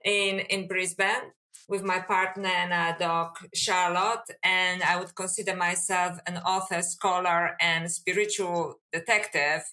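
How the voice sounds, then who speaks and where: A woman's voice: on the low side, fairly even intonation, unhurried, sharp tone. A woman with a Polish accent, an author and academic, answering interview questions in a thoughtful, musing way.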